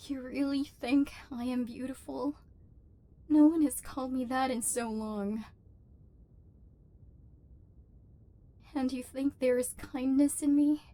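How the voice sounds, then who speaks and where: A lonely female robot overlord, acted by a woman for an ASMR roleplay, with a fairly high voice. She talks in formal, measured machine phrasing. Under the guarded manner she sounds wounded and yearning. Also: mellow. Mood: hurt, disbelieving, then wary, softening.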